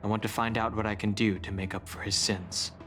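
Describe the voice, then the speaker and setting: A man playing a solemn, reserved exile burdened by his past in a video game, speaking in a measured, formal way. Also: lowish voice, level tone, music in the background, close to an everyday voice. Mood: grave, resolved. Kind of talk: English video game voice acting.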